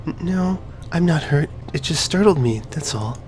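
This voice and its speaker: A man voicing a shy, flustered, soft-spoken teenage girl who stammers apologetically, as in a video game. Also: fairly high pitch, lively intonation, normal speed, a little hiss or hum, voice pushed up, close to an everyday voice. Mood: startled, shy. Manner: stammering, hesitant.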